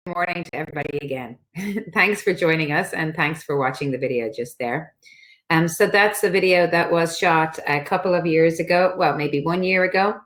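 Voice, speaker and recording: A woman presenting slides at an online study fair, relaxed and filling pauses with ums. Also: sits low. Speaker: international office presenter.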